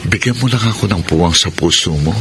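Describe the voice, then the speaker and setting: A man's voice: deep voice, brisk, creaky, noisy background. A man acting a devoted, persistent suitor in a Filipino radio romance drama, tender and earnest. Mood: tender, wooing. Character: devoted, persistent male suitor, sincere and devout. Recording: loud music under the dialogue.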